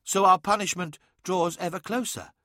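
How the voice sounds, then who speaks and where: A man's voice: high-pitched, fairly even intonation, normal speed, pushed and bright, no echo, studio quality, pitched above the performer's own voice. A man voicing an anguished male sorcerer who pleads urgently and fiercely defends his home. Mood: anxious. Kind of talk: audiobook character voice.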